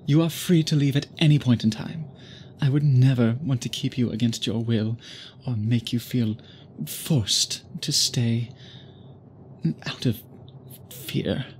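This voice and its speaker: A man's voice: medium pitch, fairly even intonation, unhurried, lightly acted. Courtly, devoted vampire suitor, acted by a man, background noise. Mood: melancholy, earnest. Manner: formal, polite, gently doting phrasing. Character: obsessive, courtly male vampire suitor, tender and wounded. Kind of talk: intimate ASMR roleplay, scripted acting.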